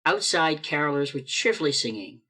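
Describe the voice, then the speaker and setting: A storyteller narrates a radio play in a theatrical style. Phrases are set out deliberately, with dramatic stress on each item. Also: mid-range voice, expressive, pushed and bright, slightly rough. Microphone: video call microphone.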